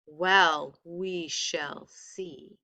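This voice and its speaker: A woman's voice: on the low side, steady tone, extremely slow, bright, forceful tone, full character voice. A woman voicing a proud, menacing demon king in a storybook, snarling and haughty.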